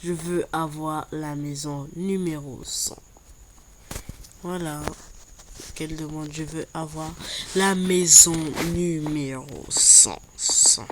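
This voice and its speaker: Woman, congested from a cold; slow, low, repetitive game chatter over noisy background. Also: fairly even intonation. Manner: slow, repeats words while building. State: has a cold, stuffy voice. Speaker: Minecraft YouTuber. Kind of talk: casual gameplay commentary.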